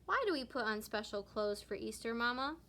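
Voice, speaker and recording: A woman's voice: on the high side, lively intonation, a little fast, gravelly, pushed and bright, heavily acted. A woman voicing a curious little girl bear cub from a storybook, eager and earnest. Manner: earnest questioning.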